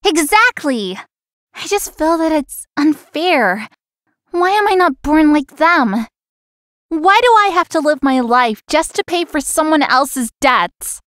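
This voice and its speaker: Young female florist, high pitch, friendly, self-doubting, visual novel dialogue, dry studio sound. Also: lively intonation, slow pace, fairly bright tone, no room sound, heavily acted. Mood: bitter, complaining. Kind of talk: scripted anime-style game dialogue and inner monologue.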